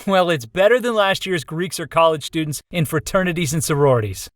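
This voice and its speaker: A man's voice: on the high side, a little fast, no room sound, clean studio sound, close to an everyday voice. A man playing an earnest, loyal young college student in a visual novel, in a plain-spoken way. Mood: mild, friendly. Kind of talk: visual novel character dialogue.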